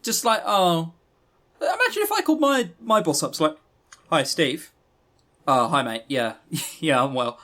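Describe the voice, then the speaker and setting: A man with a slightly high voice and very lively intonation. He chats casually as a podcast co-host reviewing games, sarcastic and prone to swearing. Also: unhurried. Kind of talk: casual chat reviewing visual novels.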